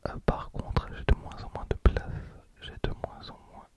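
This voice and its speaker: Whispering young Frenchman, soft ASMR delivery. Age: 20s. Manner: soft, unhurried, repeats phrases slowly. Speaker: ASMR video creator and host. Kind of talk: ASMR whispering, card pack opening commentary. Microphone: handheld recorder used as close ASMR microphone.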